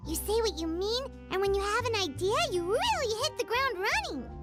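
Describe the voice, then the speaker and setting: A woman's voice: very high voice, sing-song, moderate pace, loud music behind the voice. A woman voicing a tiny, childlike fairy companion in a video game, chirpy and eager. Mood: chirpy, curious. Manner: chirpy, eager, refers to self by name.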